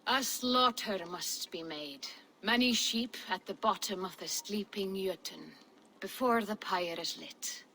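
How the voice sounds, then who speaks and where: A woman's voice: medium pitch, bright, forceful tone. An actress playing a solemn, mystical female seer who speaks in riddles and prophecy in a game cutscene. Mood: cryptic. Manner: slow, cryptic, prophetic. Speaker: volva. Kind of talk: video game cutscene acting.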